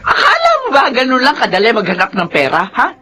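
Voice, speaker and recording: A woman's voice: a little high, wildly swooping pitch, fast talker, background noise. An actress playing an exasperated, nagging middle-aged mother of grown sons in a Filipino radio drama. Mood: angry scolding. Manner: nagging, fretful.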